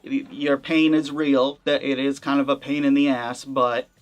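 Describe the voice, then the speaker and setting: A man hosts a gaming commentary channel, speaking at an ordinary middle pitch. He talks in a loose, conversational way, wry and a little hedging as he works through his thoughts. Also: little pitch movement, a little slow, faint music behind. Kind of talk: casual commentary.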